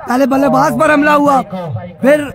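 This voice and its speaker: A man with a strained, hoarse voice calls a local cricket match in a very high, excitable register, with a Pakistani lilt. He chats loosely with viewers between deliveries. A loud crowd roars behind him at the outdoor ground. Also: normal speed. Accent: Pakistani Hindko-Urdu. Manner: excitable, chatty, reads out viewer comments. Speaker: cricket commentator. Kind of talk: live tape-ball cricket commentary.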